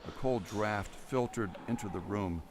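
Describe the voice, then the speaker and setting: Male narrator, low pitch, dramatic scripted storytelling, audio drama, faint background noise. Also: moderate pace.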